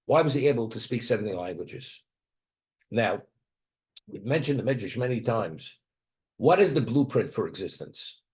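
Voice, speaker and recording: A man's voice: medium pitch, a little mellow. A man giving a Torah class who asks rhetorical questions and answers them, weaving Hebrew and Aramaic terms into his English and often restarting his words as he thinks aloud. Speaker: Torah teacher.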